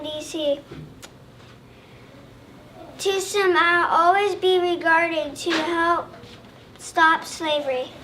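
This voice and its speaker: A young girl of about eight or nine giving a halting, rehearsed school presentation in the first person as a historical figure. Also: very high voice, pushed and bright. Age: child, about 8 or 9. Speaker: third grade student presenter. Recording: distant mic, faint room noise.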